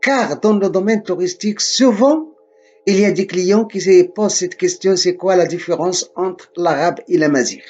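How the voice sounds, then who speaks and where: A man from Morocco giving a slow, deliberate spoken lesson, often repeating and correcting himself. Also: high-pitched, darkish tone, muffled recording, faint music behind.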